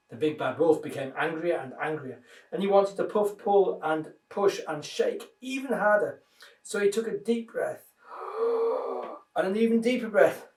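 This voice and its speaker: A man reading a children's story aloud in an expressive, dramatised way. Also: sits high. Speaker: minister reading children's stories. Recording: roomy echo, distant microphone.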